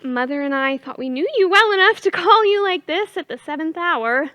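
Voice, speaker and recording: An actress playing a scatterbrained young debutante daughter in a stage comedy, flustered and pleading in manner. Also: female voice, extremely high pitch, animated, normal speed, pushed and bright, no room sound, full character voice. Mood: breezy, polite. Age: young adult.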